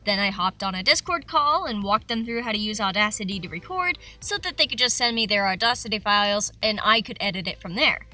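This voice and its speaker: A woman with a squeaky, youthful voice narrating her video in a casual, chatty, self-deprecating way. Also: lively intonation, fairly quick, hardly any pauses, pushed and bright. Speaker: video creator. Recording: faint music behind the voice.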